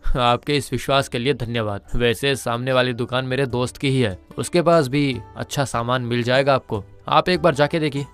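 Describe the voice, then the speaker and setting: A man playing a kindly, conscientious middle-aged village merchant in a moral-story cartoon, earnest and measured. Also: mid-range voice, steady tone, a bit bright, clear, resonant tone, soft background music, natural voice. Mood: polite.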